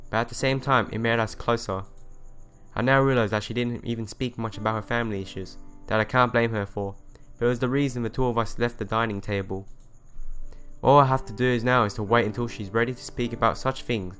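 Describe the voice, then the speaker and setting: Male let's play host, medium-pitched voice, reads story narration and dialogue with occasional stumbles, soft game music behind. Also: fairly even intonation, fairly quick, smooth. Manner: stumbles and rereads phrases. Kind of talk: reading visual novel narration and dialogue aloud.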